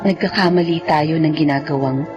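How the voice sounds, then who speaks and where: A woman's voice: low voice, normal speed, dull audio, music in the background, natural voice. A woman playing a wistful young woman narrating her own love story in a Filipino radio drama, with a Filipino accent. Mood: wistful, reflective.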